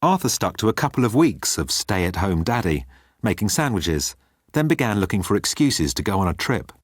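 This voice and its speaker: A man with a low voice narrates a comic science fiction novel. The reading is scripted storytelling in a close, dry recording with no room sound. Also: very animated, moderate pace, no room sound. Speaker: audiobook narrator.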